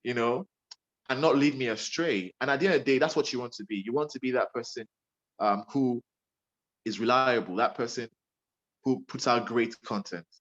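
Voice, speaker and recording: A man's voice: mid-range voice, moderate pace, a bit bright, highs cut off. A young man with a Nigerian accent, a radio presenter giving earnest, emphatic advice to a young interviewer and often repeating himself.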